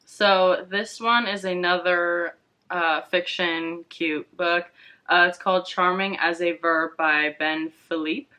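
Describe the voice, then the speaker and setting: A woman's voice: fairly low pitch, steady tone, slow pace, pushed and bright, clean tone. A young woman chatting casually about books as a vlog guest, with informal, tentative phrasing. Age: young adult. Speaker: guest co-host on a book vlog. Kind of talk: casual book chat.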